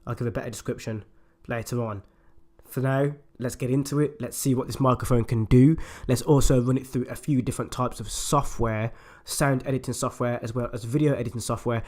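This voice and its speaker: A man with a raspy voice, hoarse from a flu, chatting upbeat and direct to viewers as he reviews a microphone at home on a desktop USB mic. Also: medium pitch, fairly even intonation, brisk, darkish tone. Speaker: YouTube channel host. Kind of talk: casual product review and unboxing. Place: at home, indoors.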